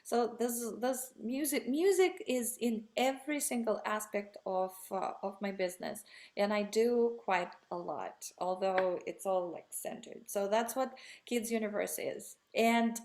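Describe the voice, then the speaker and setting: A woman's voice: medium pitch, measured pace, darkish tone. A woman in her thirties, originally from Russia, answering interview questions in a rambling, enthusiastic way with frequent restarts. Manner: rambling, self-correcting, enthusiastic. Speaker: guest. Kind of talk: conversational interview.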